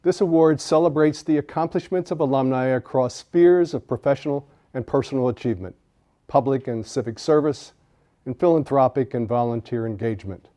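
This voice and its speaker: A man's voice: mid-range voice, brisk, warm tone. A man hosting an awards ceremony, reading formal, polished introductions. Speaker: ceremony host. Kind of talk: scripted ceremony presenting.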